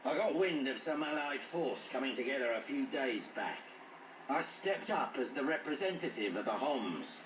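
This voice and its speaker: A man plays a roguish older British mercenary from a video game, wry and gruff. His delivery is animated, heard at a distance in an echoey, noisy space. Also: mid-range voice, lightly acted. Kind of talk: video game cutscene acting.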